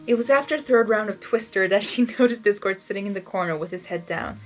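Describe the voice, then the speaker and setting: A woman's voice: medium pitch, fast, soft background music. A woman doing a blind reading of a fan story, stumbling on words and adding gushing asides. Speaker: reader.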